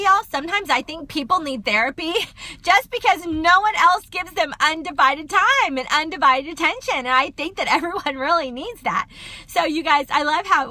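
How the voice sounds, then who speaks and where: A middle-aged woman, a counselor chatting loudly and quickly to viewers on a phone livestream. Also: high voice, no echo. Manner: loud, fast, chatty, addresses viewers as y'all. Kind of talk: casual livestream talk to viewers.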